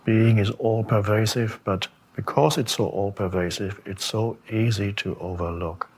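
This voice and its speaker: Male spiritual teacher for a meditation talk: somewhat deep, breathy-rough tone, reflective delivery, lingering pauses, gentle questioning. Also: fairly even intonation. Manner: contemplative, halting with long pauses, gently questioning.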